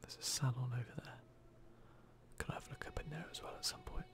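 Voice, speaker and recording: A man hosting an ASMR video game playthrough, commenting in a hushed voice that drifts between soft speech and whispering, close to the microphone. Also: mid-range voice, steady tone, fast talker. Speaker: gaming video host.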